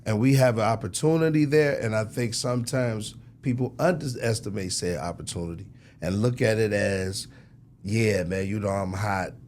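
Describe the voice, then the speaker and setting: A man's voice: fairly low pitch, moderate pace, darkish tone, a little hiss or hum. A man talking casually as a record executive in an interview, emphatic and slangy, repeating phrases for effect. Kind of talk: casual, animated interview talk.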